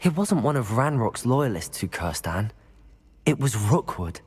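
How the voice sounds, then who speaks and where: A man's voice: mid-range voice, sing-song, breathy edge. A man voicing a level-headed, supportive young student wizard with a British accent in a video game. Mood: grave. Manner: measured, reassuring. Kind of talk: video game cutscene dialogue.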